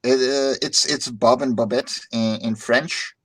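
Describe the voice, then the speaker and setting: A man's voice: medium pitch, fairly even intonation, measured pace, a bit bright, husky. A Dutch man hosting a casual livestream chat, upbeat and joking, often repeating words as he starts a sentence. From Netherlands. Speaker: stream host.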